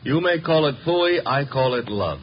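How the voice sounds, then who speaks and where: An actor playing a breezy, flippant detective's assistant who wisecracks in slang, in a 1950s radio drama. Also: male voice, a little high, expressive, normal speed, husky. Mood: breezy, teasing. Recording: dull, noisy 1950 radio recording.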